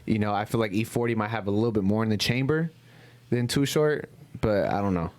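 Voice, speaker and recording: A man's voice: fairly low pitch, a little fast, darkish tone. A young man in casual podcast chat, speaking loosely and with some slang into a desk mic. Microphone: desk microphone.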